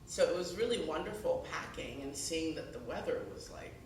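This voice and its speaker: A woman's voice: low-pitched, a little fast. A middle-aged woman from Ghana who moved to the US as a child, giving a conversational public talk as an author, telling anecdotes and acting out the dialogue. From born in Ghana, moved to the US at six. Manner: animated storytelling, acts out dialogue. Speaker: guest speaker, author. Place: high school event space, indoors. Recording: echoey room, distant mic, background noise.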